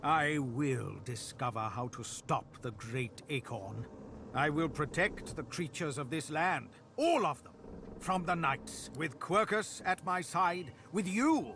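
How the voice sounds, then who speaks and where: A man's voice: on the high side, animated, takes it slowly, background noise. A man voicing an earnest young man who vows to protect the creatures of the land, speaking solemnly and resolutely.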